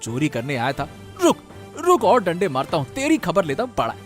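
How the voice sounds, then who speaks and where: A man's voice: a little high, huge pitch swings, a little fast, soft background music. A man playing a righteous, protective village uncle in a Hindi cartoon story. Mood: angry, threatening.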